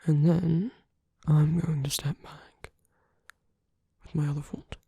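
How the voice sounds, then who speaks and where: A woman performing an ASMR roleplay as a suave, teasing, flirtatious villain, in a breathy, husky half-whisper with slow, coaxing murmurs. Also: mid-range voice, little pitch movement, warm tone, no room sound, heavily acted. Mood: patient, guiding. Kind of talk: ASMR roleplay, intimate scripted monologue.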